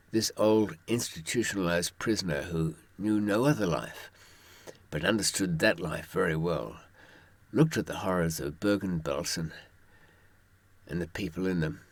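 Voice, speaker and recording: A man's voice: low voice, measured pace, long pauses, no room sound. A man telling anecdotes and giving advice in an informal monologue, with wry, dry humour and asides to the listener. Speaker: author giving prison survival advice from experience.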